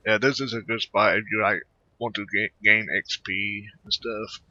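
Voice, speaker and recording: A man giving casual gameplay commentary in a rambling, hesitant, halting way. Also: fairly low pitch, level tone, bright, forceful tone, no echo, dull audio. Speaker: gamer narrating own gameplay.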